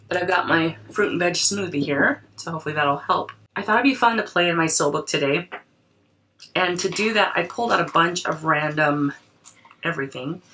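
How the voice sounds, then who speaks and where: Woman vlogger, lowish voice, a bit off-mic, cold in her voice, chatty crafting talk. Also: fairly quick, sharp tone, recorded from a distance, a little hiss or hum. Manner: chatty, thinks aloud, frequent restarts. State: voice affected by a cold. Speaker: creativity coach. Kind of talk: casual crafting vlog.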